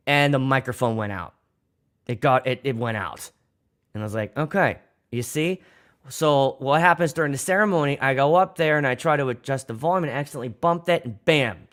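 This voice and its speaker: Rambling Pittsburgh DJ, mid-pitched. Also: male voice, a bit bright. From Pittsburgh, USA. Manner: rambling, swears freely, many restarts. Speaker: DJ and livestream host. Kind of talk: casual livestream chat.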